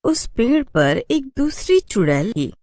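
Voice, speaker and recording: A woman narrator reading a scripted story for an animated tale. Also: fairly high pitch, a little slow, dry recording, studio quality.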